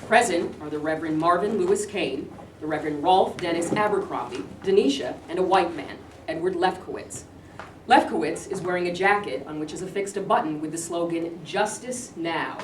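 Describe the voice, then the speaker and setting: A woman's voice: on the low side, moderate pace. A woman reading the stage directions aloud in a plain, matter-of-fact way. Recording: echoey room, read from far off, noisy.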